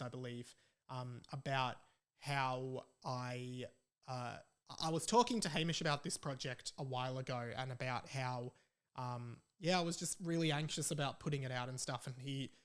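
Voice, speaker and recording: A man's voice: medium pitch, clean tone. A young adult man with an Australian accent, chatting casually as a solo podcast host in an informal, self-deprecating way.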